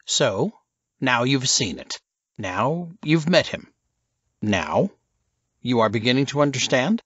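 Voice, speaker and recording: A man's voice: mid-range voice, sing-song, takes it slowly, a bit bright, breathy edge, no room sound, dull audio, pitched above the performer's own voice, heavily acted. A man voicing a knowing, calculating male spymaster in an audiobook, curt and condescending as he instructs. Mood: probing.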